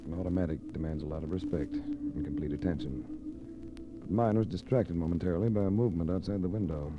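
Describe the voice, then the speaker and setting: A man's voice: sits low, fast, lo-fi sound, over loud music, close to an everyday voice. A man playing a cool, wry hard-boiled investigator in a 1940s radio mystery, dry and unruffled as he talks and narrates. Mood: cool, alert. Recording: hissy old radio drama recording.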